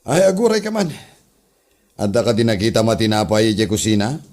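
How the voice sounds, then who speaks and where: A bossy, jovial male office superior with an Ilocano accent, played by a man. His pitch swings widely as he orders staff about in comedy radio play style. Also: very animated, fairly quick, a little mellow. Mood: bossy, pleased. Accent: Filipino, Ilocano. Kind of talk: Ilocano comedy drama podcast acting.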